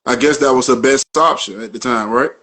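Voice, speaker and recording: Male podcast host, calm and probing, everyday mid voice. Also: mid-range voice, fairly quick. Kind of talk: interview questions.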